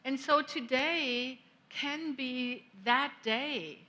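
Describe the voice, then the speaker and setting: Lady minister, slow gentle sermon, kinda high voice. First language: German. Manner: gentle, reflective, unhurried with long pauses. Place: church sanctuary.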